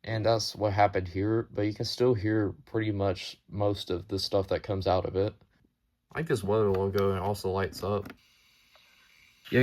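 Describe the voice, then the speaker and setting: A man's voice: fairly low pitch, a little mellow. A man presenting his hobby collection in a casual, unscripted show-and-tell, with a matter-of-fact, informal delivery.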